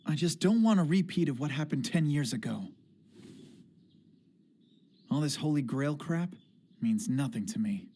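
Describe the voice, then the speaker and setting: A man's voice: fairly high pitch, animated, measured pace, pauses often, mellow, husky, full character voice. An actor playing an earnest, stubborn teenage boy hero in an English anime dub, idealistic and a little hesitant. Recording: music under the voice.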